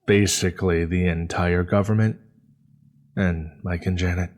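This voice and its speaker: An actor playing a steady, serious male crewman in a sci-fi horror audio drama. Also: deep voice, measured pace.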